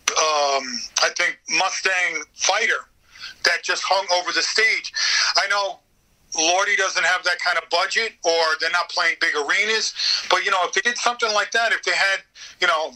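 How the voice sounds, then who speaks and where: Guy with a high, really sharp bright voice, chatty podcast guest, animated. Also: poor audio. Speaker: guest, voice actor. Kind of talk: casual podcast chat.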